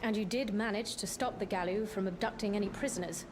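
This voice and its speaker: An actress as an imperious noblewoman in command in a game drama. Her mid-pitched voice is formal, wry and authoritative, set against loud ambience. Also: fairly even intonation, quick pace, lightly acted. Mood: wry, grudging. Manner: formal, authoritative, clipped reprimands. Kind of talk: dramatic video game acting. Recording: loud background ambience noise.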